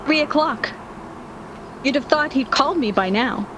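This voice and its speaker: An actress performs a young woman hopelessly in love, in the style of a 1940s radio play. Her voice sits fairly high, with a dreamy, insistent and dramatic delivery. The recording is clearly noisy. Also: lively intonation, normal speed. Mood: anxious, stubborn. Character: lovestruck, stubbornly hopeful young single woman, brittle, anxious.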